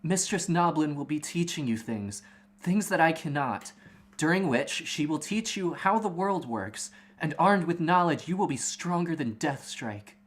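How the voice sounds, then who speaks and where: A woman playing a solemn, protective mother in a measured, earnest reading for amateur radio theater. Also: low voice, lively intonation. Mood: solemn, advising. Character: solemn, protective mother advising young son.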